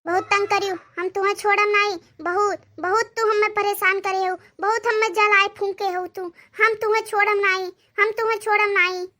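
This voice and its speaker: A performer voicing a vengeful goddess-like spirit possessing someone in an Awadhi comedy cartoon, with an Awadhi accent and menacing, drawn-out, repetitive delivery. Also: very high voice, little pitch movement, pushed and bright.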